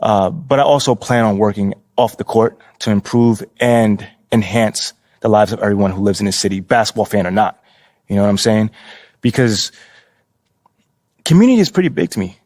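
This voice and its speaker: An actor playing a confident, charming young American basketball star in a video game, easygoing, playful and slangy. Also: male voice, fairly low pitch, animated, moderate pace, a little mellow, no echo, clean studio sound, natural voice. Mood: confident, earnest. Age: young adult. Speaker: pro basketball player character. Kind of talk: casual video game cutscene dialogue.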